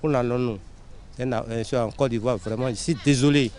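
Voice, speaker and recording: A man in a street interview, speaking haltingly and apologetically. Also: mid-range voice, fairly even intonation, darkish tone. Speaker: evicted resident.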